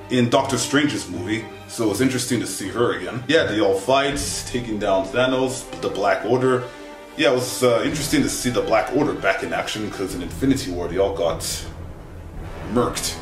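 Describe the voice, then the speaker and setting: A man's voice: medium pitch, animated, normal speed, music in the background. Young man, casual reviewer in echoey room. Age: young adult. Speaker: film reviewer. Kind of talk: casual spoken movie review to camera. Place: small wood-furnished bedroom, indoors.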